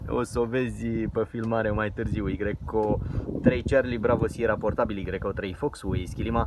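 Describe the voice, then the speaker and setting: A man, an amateur radio operator, speaking into a station microphone in a measured, technical way with small hesitations. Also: medium pitch, heavy background noise. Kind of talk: radio contact exchange.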